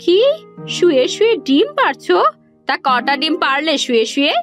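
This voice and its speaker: A woman's voice: sits high, animated, normal speed, fairly bright tone, soft background music. A woman playing a foolish, earnest young village wife in a cartoon, asking things in a naive, literal-minded way. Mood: naive, puzzled. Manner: naive, wide-eyed questioning. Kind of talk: cartoon voice acting.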